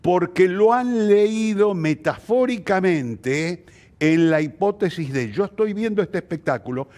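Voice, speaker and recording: A man with an Argentine accent answering in a conversational interview, expressive and fond of illustrating his points with examples. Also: high-pitched, measured pace. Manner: expressive, illustrates with anecdotes and acted quotes. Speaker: theatre practitioner interviewed as guest.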